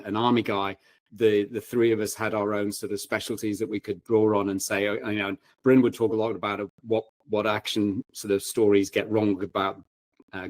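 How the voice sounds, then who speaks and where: Male, fairly low pitch. Halting, conversational podcast guest in a dry room. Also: dry recording. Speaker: podcast guest, writer and former paramedic. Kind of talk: casual chat.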